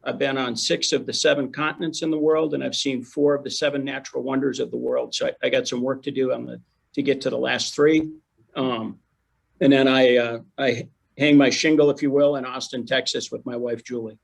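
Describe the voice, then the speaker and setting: A middle-aged man, a company chief executive, giving a brisk, confident introduction on a panel. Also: mid-range voice, steady tone, gravelly, poor audio.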